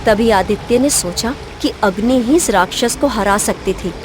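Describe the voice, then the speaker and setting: A woman's voice: medium pitch, normal speed, noisy background. A woman storyteller giving scripted, dramatic narration of a fairy tale. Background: background music.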